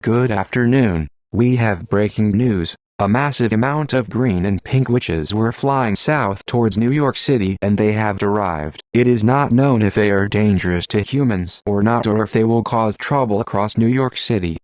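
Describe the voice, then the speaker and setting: A man's voice: lowish voice, normal speed, hardly any pauses, darkish tone, no room sound, lightly acted. A man playing a male television anchor reading scripted breaking news. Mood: formal.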